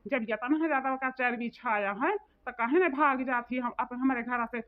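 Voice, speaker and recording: Through thin, phone-like audio comes a woman playing a fairly high-voiced cartoon village woman. She is bossy and indignant, scolding insistently in an eastern Hindi-belt accent. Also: normal speed, close to an everyday voice. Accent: eastern Hindi belt (Bhojpuri-Awadhi region). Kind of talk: comedic cartoon dialogue. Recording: tinny phone-like band-limited audio.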